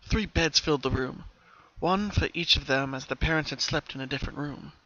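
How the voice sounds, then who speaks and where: A man's voice: a little high, a little fast, bright, forceful tone, lo-fi sound. A man reading a scripted story aloud as its narrator, in a dramatic storytelling style.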